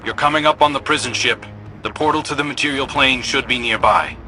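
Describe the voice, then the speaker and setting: An actor playing a pragmatic military field operator in a video game, giving brisk briefings over a radio. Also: male voice, medium pitch, pushed and bright, faint music behind, low-quality recording. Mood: focused. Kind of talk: scripted video game dialogue.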